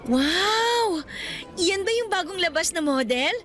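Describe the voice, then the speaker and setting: A nervous young student. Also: female voice, very high-pitched, animated, takes it slowly, over music, exaggerated voice. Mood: friendly. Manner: flustered, making excuses with nervous laughs. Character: young female college student hiding her poverty. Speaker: voice actor. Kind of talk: radio drama acting.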